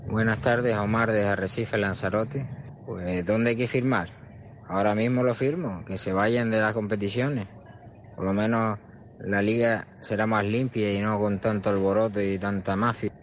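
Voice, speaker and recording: A man's voice: lowish voice, fairly even intonation, moderate pace. A man from Spain leaving a listener's voice message for a radio show. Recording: faintly noisy voice message.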